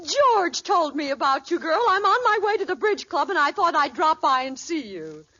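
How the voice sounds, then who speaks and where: An actress playing a gushing, gossipy society matron with an affected, effusive manner in a 1940s radio sitcom on an old recording. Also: female voice, sits high, sing-song, moderate pace, bright, forceful tone, muffled recording, full character voice. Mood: breezy.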